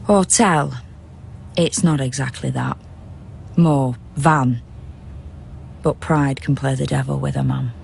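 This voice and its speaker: Radio drama narrator: solemn, all-knowing, unhurried poetic delivery, ambient noise underneath. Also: medium pitch, steady tone, creaky. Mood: measured, knowing. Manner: measured, rhythmic verse delivery. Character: solemn omniscient storyteller of a city at night.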